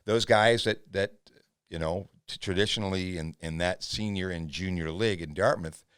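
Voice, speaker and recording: A man's voice: normal speed, a bit bright, clear, resonant tone, no room sound, studio quality. A male podcast host, chatting casually with a guest. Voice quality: booming voice. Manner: frequent brief agreements, thoughtful. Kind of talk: casual interview chat.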